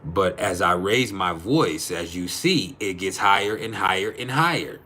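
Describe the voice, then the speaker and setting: A man hosts a casual live chat in a naturally deep, low-pitched voice. He talks in a blunt, slangy way and swears freely. Music plays behind him as he speaks to his viewers. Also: animated, measured pace, bright, forceful tone, a little hiss or hum. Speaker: livestream host.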